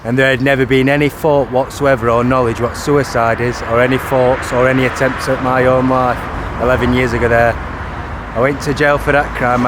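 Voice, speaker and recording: Man in his thirties outdoors. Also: medium pitch. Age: 30s. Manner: repetitive, restarting, insistent. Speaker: vlogger. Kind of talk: first-person video to camera. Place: outdoors by a street. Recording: outdoor street noise. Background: traffic noise.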